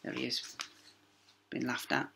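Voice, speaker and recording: A man reading a children's story aloud like a primary teacher, dramatic and emphatic. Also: background noise. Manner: dramatic, with emphatic pauses and sound words.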